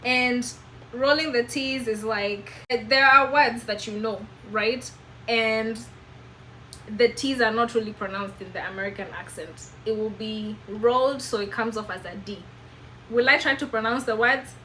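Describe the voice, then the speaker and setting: A woman's voice: a little high, fairly even intonation, measured pace, pushed and bright, a little hiss or hum, poor audio. A woman in her twenties with a Kenyan accent, telling a personal story to camera in an emphatic, preachy way. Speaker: vlogger.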